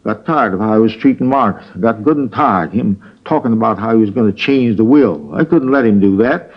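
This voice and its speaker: A man's voice: lowish voice, lively intonation, brisk, slightly rough. A man playing a frail old father who confesses to shield his daughter, in a 1950s radio drama. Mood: plain. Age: older. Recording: dull old radio broadcast.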